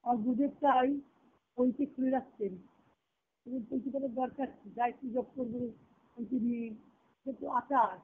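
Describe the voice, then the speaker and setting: A woman's voice: sits high, steady tone, moderate pace, long pauses. Woman spiritual teacher on a tinny phone line. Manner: reflective, explanatory, repeats key phrases. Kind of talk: devotional discourse, explaining scripture and song. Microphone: phone conference call. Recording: tinny narrowband conference-call phone line.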